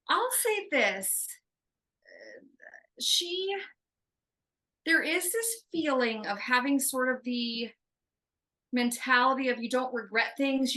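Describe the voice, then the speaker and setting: Bright, hesitant woman medium. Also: fairly high pitch, rough recording. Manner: hesitant, filler-heavy, relays in third person. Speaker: medium relaying answers. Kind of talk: casual relayed interview.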